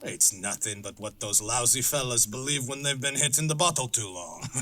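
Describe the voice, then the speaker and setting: An actor playing a superstitious, uneasy wilderness guide in an audio horror drama, speaking in a halting, folksy, evasive way. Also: male voice, medium pitch, sharp tone, lightly acted. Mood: grudging, wary.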